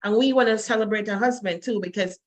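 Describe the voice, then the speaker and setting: A woman's voice: mid-range voice, fast talker. A woman hosting a faith-based interview show, talking fervently and repetitively to exhort her viewers. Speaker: host.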